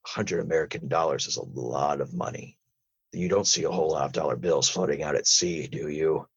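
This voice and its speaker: A man voicing a gruff, husky whale interrogator with a stern, deliberate manner in an improvised audio drama. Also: dull audio, heavily acted. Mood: stern, matter-of-fact. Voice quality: husky, low. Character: gruff whale interrogator hunting crab drug smugglers.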